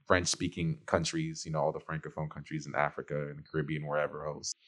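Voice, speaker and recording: A young man from Texas, a rapper being interviewed on radio, talking in a quick, rambling way full of "like". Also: extremely low pitch, monotone, fairly bright tone. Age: young adult. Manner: quick, rambling, heavy use of "like". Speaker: rapper guest.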